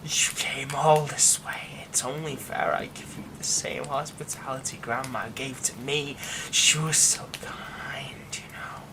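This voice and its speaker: A man's voice: mid-range voice, fairly even intonation, natural voice, low-quality recording. Man doing a whispering yandere werewolf. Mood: menacing. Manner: slow, soft, threatening whispers. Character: menacing yandere werewolf, a young man who was a childhood friend. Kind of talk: ASMR roleplay.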